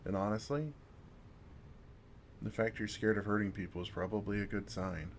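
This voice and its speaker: Male actor as older vampire, deep register, fry at the edges, kind, dryly funny, unhurried, close ASMR roleplay delivery. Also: steady tone, slight background noise, close to an everyday voice. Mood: gentle, reassuring. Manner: gentle, dry self-deprecating humor, unhurried pauses.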